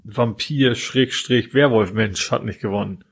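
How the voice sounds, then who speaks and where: Ein Mann, der im Podcast locker mitredet, oft stockt und Wörter wiederholt. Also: male voice, fairly low pitch, creaky, breathy edge. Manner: halting, repeats words while searching, laughs easily. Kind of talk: casual chat.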